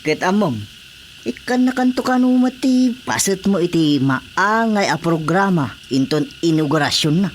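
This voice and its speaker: An actress playing a touchy, defensive village councilor in a radio comedy drama, scolding and interjecting in an Ilocano accent. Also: female voice, on the low side, expressive, normal speed, a little hiss or hum, lightly acted. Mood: needling.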